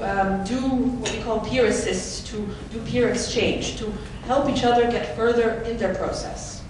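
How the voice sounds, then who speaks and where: Woman presenting in an echoey hall. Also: mid-range voice. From Netherlands. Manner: clear explanatory delivery with occasional fillers. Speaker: conference presenter. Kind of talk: conference presentation. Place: large conference hall. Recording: echoey hall, mic from far off, noisy.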